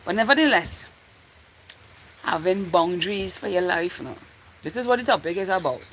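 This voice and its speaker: A woman's voice: fairly low pitch, expressive, normal speed, a bit bright. Trinidadian woman, casual livestream, noisy background. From Trinidad and Tobago. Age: 40s. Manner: rambling, repeats phrases while thinking aloud. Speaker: livestream host. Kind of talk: casual live chat with viewers.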